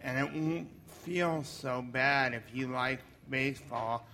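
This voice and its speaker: A man with a Boston accent gives a friendly neighborly welcome in a practice role-play. His tone is bright and ringing, and he halts often with "um". A faint room hum sits behind him. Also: mid-range voice. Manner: friendly, halting, many "um"s. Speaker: workshop participant doing role-play.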